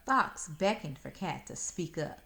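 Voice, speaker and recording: A woman reading a children's story aloud in expressive narration. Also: low-pitched, unhurried, creaky.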